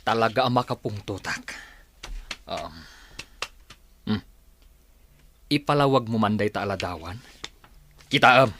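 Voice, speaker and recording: A man playing a stern, questioning village father, quick to scold, in a radio comedy-drama. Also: lowish voice, lively intonation, fairly bright tone, slight background noise. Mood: angry. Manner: interrogating, scolding.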